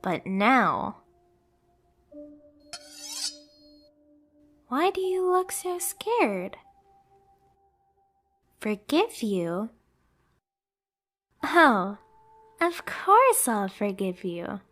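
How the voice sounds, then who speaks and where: A woman's voice: on the high side, very animated, very slow, pauses often, fairly bright tone, faint music behind, heavily acted. A woman playing a lonely, jealous, unhinged pet catgirl in an emotional anime ASMR roleplay, dramatic and sometimes whispery. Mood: coldly menacing.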